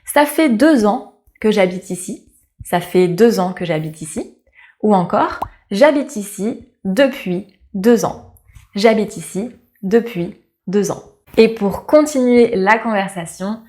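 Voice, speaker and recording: A young French woman from Paris, a French teacher, giving a lesson to camera and slowly repeating each model phrase clearly. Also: medium pitch, a little mellow. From France.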